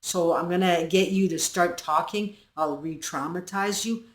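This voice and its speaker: A congested woman speaking as a cold, manipulative, self-serving partner, in a lowered, quiet voice. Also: a little slow, reverberant, lightly acted. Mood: cold scheming. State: congested with flu.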